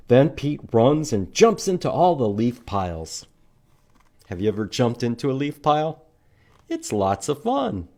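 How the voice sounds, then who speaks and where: A man leads a children's storytime at a slow, unhurried pace. His pitch moves around a great deal as he reads aloud and puts simple questions to young listeners. The delivery is expressive and easy to follow. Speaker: library storytime host reading to children. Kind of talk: children's storytime read-aloud and letter lesson.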